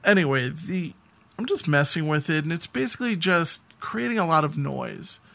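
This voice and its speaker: A man's voice: on the high side, animated, normal speed, vocal fry, bright, forceful tone. A man co-hosting a podcast, telling anecdotes in a casual, rambling conversational way. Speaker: podcast co-host.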